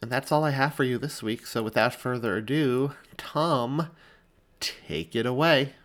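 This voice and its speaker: A man's voice: medium pitch, a little slow, fairly bright tone. A man hosting a podcast, giving an informal introduction. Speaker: podcast host.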